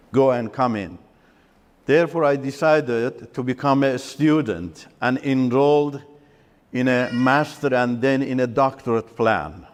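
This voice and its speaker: Slow, earnest man in a reverberant hall. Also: mid-range voice. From Iran. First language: Turkish. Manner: earnest, halting, breaks up sentences with pauses and restarts. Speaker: testimony speaker. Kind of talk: personal faith testimony.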